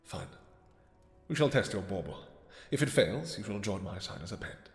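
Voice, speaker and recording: A man playing an imperious, possessive vampire king in a romantic audio roleplay, speaking in a deep, soft, courtly voice with formal phrasing. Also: animated, faint music behind, natural voice. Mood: stern, possessive. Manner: formal, courtly, commanding, hesitant pauses. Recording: echoey hall with long reverb.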